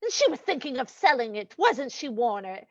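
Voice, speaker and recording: A woman's voice: sits high, sing-song, brisk, no room sound, muffled recording. A woman playing a gloating, sweetly cruel lady murderess in an old-time radio mystery, cooing mock sympathy at her victim. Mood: sly mock regret.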